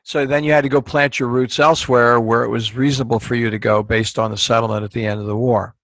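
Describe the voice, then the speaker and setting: A man's voice: mid-range voice, little pitch movement, quick pace, fairly bright tone, dry recording. A male podcast host who asks questions and sums up what his guest says in folksy phrasing. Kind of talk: interview questions.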